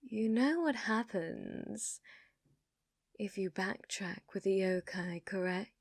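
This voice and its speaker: A woman's voice: mid-range voice, animated, creaky, dry recording, heavily acted. An ancient, possessive yokai woman, acted by a female performer for an ASMR roleplay, speaks very unhurriedly in a dry, close sound. She is coy and dominant, teasing her listener with sweetness that carries menace, often sinking toward a whisper. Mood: quietly menacing. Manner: slow, teasing, intimate, often dropping to a whisper.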